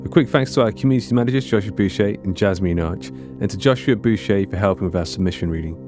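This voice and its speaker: Deep-voiced man reading podcast credits. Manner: brisk, casual and upbeat. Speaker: podcast host. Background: music.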